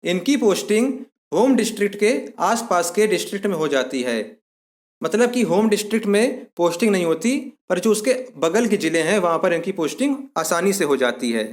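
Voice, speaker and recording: A man's voice: high voice, expressive, brisk. A man giving an explanatory lesson as an exam-prep instructor, methodically restating points in simpler words.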